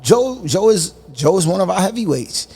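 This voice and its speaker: A man's voice: high-pitched, sing-song, moderate pace, a little mellow, husky. Bronx man, hesitant. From the Bronx, New York. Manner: hesitant, repeats words and fillers, laughs often. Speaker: podcast guest. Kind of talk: casual podcast debate.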